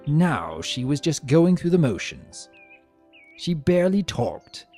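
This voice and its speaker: A man voicing a vain, spiteful, sarcastic trickster creature trapped as a statue, telling its story with theatrical, sneering, drawn-out emphasis. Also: mid-range voice, mellow. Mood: mocking, grudging. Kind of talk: dramatic first-person story reading. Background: music.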